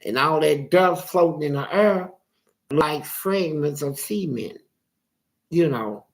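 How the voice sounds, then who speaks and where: A man with a gravelly voice telling a story casually, in animated, profane street talk and acting out the dialogue. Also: fairly high pitch, takes it slowly. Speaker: interviewee telling his life story.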